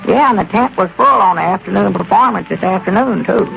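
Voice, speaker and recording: An actor playing a country storekeeper with a folksy drawl in a 1930s radio comedy. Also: male voice, sits high, fairly quick, creaky, sharp tone, faint music behind, heavily acted. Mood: easygoing. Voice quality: folksy rural drawl with plain country grammar. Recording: lo-fi old radio broadcast.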